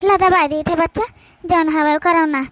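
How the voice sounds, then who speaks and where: A woman's voice: very high voice, fairly even intonation, a little slow, fairly bright tone, no echo. A woman voicing a put-upon old village mother-in-law in a comedy cartoon, pleading and grumbling with an Awadhi accent. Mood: flustered, pleading.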